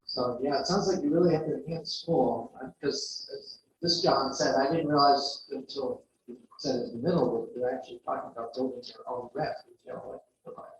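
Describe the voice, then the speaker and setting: A man's voice: medium pitch, a little fast, slight background noise. A man hosting a club meeting, moderating questions in a rambling, filler-heavy way. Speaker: meeting host. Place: meeting room. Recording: very echoey room, distant dull mic.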